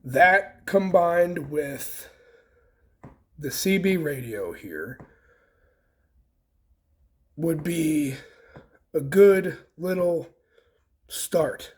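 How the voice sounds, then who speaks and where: A man with a folksy, unhurried way of thinking aloud as he hosts a casual hands-on DIY demonstration. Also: a little high. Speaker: host of a homesteading DIY vlog.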